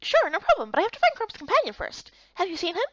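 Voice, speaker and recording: A woman's voice: very high-pitched, huge pitch swings, fast talker, a bit bright, dry recording, dull audio, voice pushed up, full character voice. A woman voicing an eager, feisty young heroine in a fantasy game, exclamatory and emotive. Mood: concerned.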